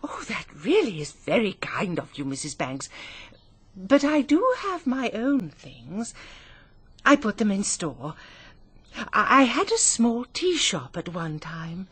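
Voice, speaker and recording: An actress playing a nervous, chatty, genteel middle-aged English spinster companion in a radio drama, with a prim, effusive manner. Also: female voice, medium pitch, very animated, slow, breathy edge, heavily acted. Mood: fluttery, polite.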